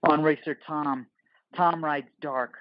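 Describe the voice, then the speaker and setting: A man's voice: fairly high pitch, steady tone, slow, no echo, highs cut off. A man narrating a scripted audio play in the role of narrator.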